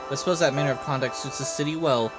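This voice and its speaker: A man's voice: mid-range voice, very fast, music in the background, natural voice. A man voicing a proud female vampire phantom thief from a game, coy, aloof and theatrical. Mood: proud, prickly.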